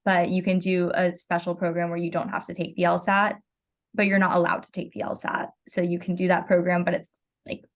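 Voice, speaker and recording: A young woman speaks casually and a little hesitantly, the way a student answers a question in a meeting. Her voice sits low and stays flat, with little rise and fall. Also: a little fast. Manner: casual, hesitant, uses fillers.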